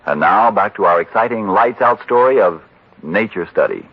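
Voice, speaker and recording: A male radio announcer in 1940s style, narrating and pitching with polished, emphatic salesmanship. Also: expressive, moderate pace, husky. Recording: muffled old radio recording.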